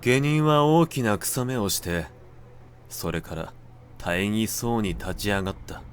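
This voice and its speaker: A man narrating a literary audiobook in a measured, deliberate manner with dramatic pauses. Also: fairly low pitch, a little hiss or hum. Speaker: narrator reading literature aloud.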